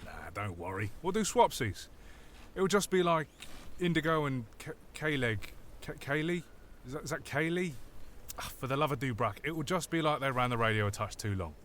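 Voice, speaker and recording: Man playing a very animated, cheeky British mechanic. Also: mid-range voice, normal speed, slight background noise, natural voice. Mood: cheeky, teasing. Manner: chatty, teasing. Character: cheeky island mechanic, matey petty crook giving car tips. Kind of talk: comic audio drama double act.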